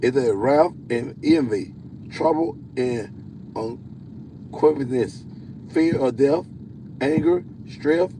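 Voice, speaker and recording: A man with a deep voice preaching on a livestream. He reads scripture slowly, stumbling and repeating words. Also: warm tone, a little hiss or hum, low-quality recording. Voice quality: self-described deep voice. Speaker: self-styled prophet hosting a livestream.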